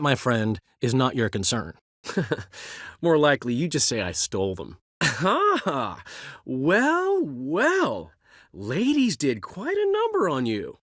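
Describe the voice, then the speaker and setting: A witty, vain male bard who carries himself like a count in a video game. His delivery is theatrical and teasing, swinging widely in pitch between laughter and mock outrage. The sound is close and dry, with no room around it. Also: fairly bright tone, dry recording, exaggerated voice. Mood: playful, mocking. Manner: theatrical, playful, bursts of laughter and exclamation.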